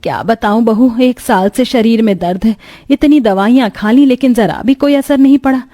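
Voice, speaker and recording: A woman's voice: fairly high pitch, normal speed, warm tone, no room sound, clean studio sound, lightly acted. A woman playing an elderly, grumbling village mother-in-law in a cartoon moral story. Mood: weary.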